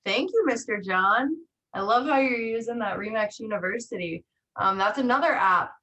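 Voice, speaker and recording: A woman's voice: a little high, normal speed, sharp tone, lo-fi sound. A woman chatting in an encouraging, informal way as co-host of an online team meeting. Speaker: real estate trainer co-hosting a meeting.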